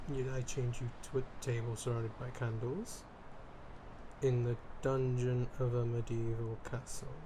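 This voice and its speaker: A man's voice: medium pitch, noisy background, natural voice. A man playing a theatrical, fussy vampire aristocrat in an ASMR roleplay, with pompous old-fashioned wording, flustered stammers and dramatic emphasis. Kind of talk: ASMR roleplay character acting, addressing the listener.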